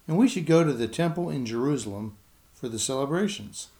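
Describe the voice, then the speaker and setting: A woman reading a children's story aloud, voicing a calm, earnest man preaching to his followers in a deep, solemn and louder voice. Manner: deep, slow and solemn, louder than her narration.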